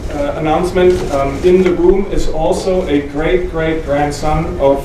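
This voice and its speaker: Male historian, German accent, higher-pitched voice, addressing a live audience from off mic in a very echoey, noisy room. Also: fairly even intonation, a little slow. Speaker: speaker, historian and author. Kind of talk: public talk to a live audience. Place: indoor museum event room with audience. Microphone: not speaking into a microphone, picked up from distance. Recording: roomy, noisy hall, off microphone, distant.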